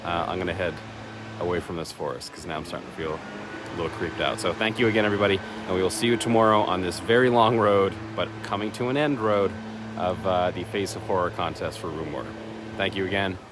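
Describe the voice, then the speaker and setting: A man vlogging casually to camera outdoors, chatty and self-deprecating, with many fillers and a slightly raspy voice. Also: low voice, fast talker. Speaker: horror contest vlogger. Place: outdoors in woods. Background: faint leaf blower and vehicle noise.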